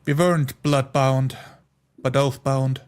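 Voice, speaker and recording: A man's voice: medium pitch, steady tone, slow pace, a little mellow, smooth, natural voice. A man playing a solemn, frugal dwarf who is doubtful and speaks in formal, terse phrases.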